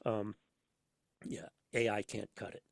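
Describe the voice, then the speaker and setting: A man chatting casually as a podcast guest, speaking haltingly and ramblingly with emphatic, theatrical flourishes. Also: on the low side, little pitch movement, gravelly, no room sound, clean studio sound. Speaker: podcast guest, audio drama voice actor and sound editor. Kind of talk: casual interview chat.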